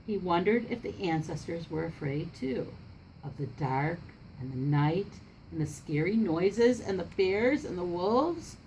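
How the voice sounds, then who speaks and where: Low-pitched woman reading a children's storybook. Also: expressive, a little slow, darkish tone, slight background noise, poor audio. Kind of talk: expressive read-aloud of a picture book.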